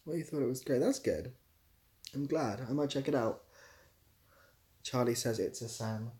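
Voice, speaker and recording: A man's voice: mid-range voice, normal speed, mellow. A man chatting casually on a livestream, reading out questions and answering them in a rambling, self-deprecating way. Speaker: live streamer answering chat questions.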